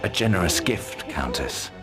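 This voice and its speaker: An actor playing a stern, honourable army commander in a fantasy game, speaking in a formal, commanding, terse manner. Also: male voice, low voice, animated, normal speed, over music. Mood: gallant, resolute. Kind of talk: fantasy video game cutscene acting.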